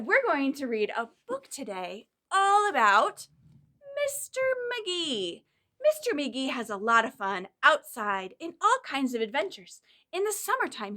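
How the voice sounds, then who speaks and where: Female children's storytime leader, bright and high, encouraging delivery aimed at little ones. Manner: animated, encouraging, speaks directly to young children. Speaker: children's librarian leading storytime. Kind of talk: children's storytime with singing and reading aloud.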